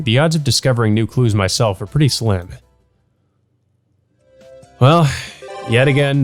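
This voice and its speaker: A man reading a visual novel aloud as a let's play host, casually and unpolished, dropping in his own asides. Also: mid-range voice.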